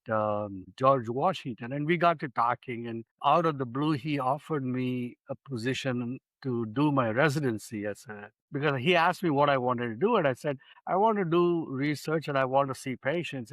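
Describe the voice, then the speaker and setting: A man in his sixties from India, a physician guest telling his story in an unhurried, reflective way in a studio interview. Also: medium pitch, fairly bright tone, dry recording, rough recording. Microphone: studio microphone.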